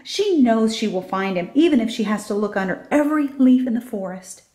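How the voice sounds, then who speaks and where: A woman reads a children's picture book aloud. Her voice sits fairly high and has a soft, mellow tone suited to story narration. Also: lively intonation, fairly quick. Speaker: storybook reader.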